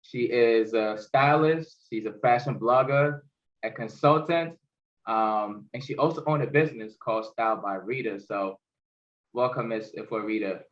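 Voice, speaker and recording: A man's voice: mid-range voice, normal speed. A man hosting an interview, upbeat and casual, asking follow-up questions with easy fillers. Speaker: interview host. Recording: echoey, dull-sounding room.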